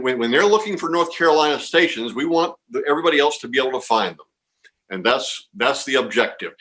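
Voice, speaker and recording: A man's voice: fairly high pitch, a bit bright, rough recording. A man being interviewed as a contest organizer, explaining things informally and reassuringly, often repeating words and phrases.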